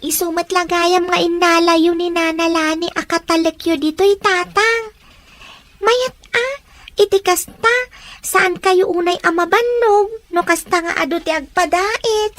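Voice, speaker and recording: A woman's voice: very high-pitched, steady tone, unhurried, a bit bright, a little hiss or hum. An actress playing a polite and respectful young woman who is visiting her aunt, uncle and father in a radio drama.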